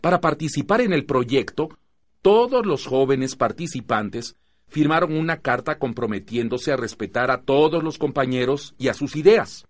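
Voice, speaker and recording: A man with a Mexican accent playing a patient teacher who narrates a radio drama in a measured, didactic way. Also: medium pitch, lively intonation, natural voice. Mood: firm, explanatory. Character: patient male teacher narrating his teenage students' stories.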